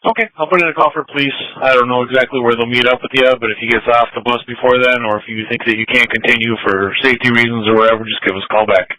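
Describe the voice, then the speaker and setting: A man's voice: mid-range voice, fairly even intonation, fast talker, bright, forceful tone, no room sound, poor audio. A man working as a call handler, giving procedural instructions over a radio channel. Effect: radio transmission. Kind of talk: procedural phone-style instructions.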